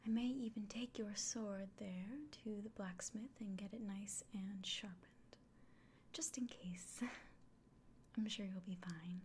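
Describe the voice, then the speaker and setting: A woman playing a kindly, caring innkeeper in an ASMR fantasy roleplay, whispering gently and reassuringly. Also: mid-range voice, steady tone, slow pace, a little hiss or hum, lightly acted. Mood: caring, cautionary.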